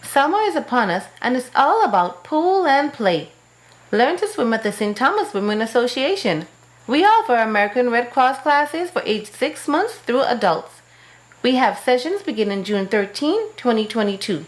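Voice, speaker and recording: A woman reads a radio public service ad with earnest emphasis. Her voice sits a little high and moves lively up and down. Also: moderate pace, poor audio.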